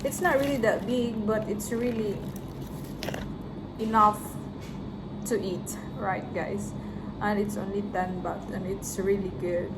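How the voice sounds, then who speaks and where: A chatty Filipino woman with a Filipino accent talks to her viewers while eating street food, laughing easily between sentences. Her voice sits at an ordinary middle pitch, recorded on a phone with clear street noise around her. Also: fairly even intonation, takes it slowly, pauses often. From Philippines. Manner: chatty, laughs often, addresses viewers as guys. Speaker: vlogger. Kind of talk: casual travel vlog chat. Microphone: phone camera.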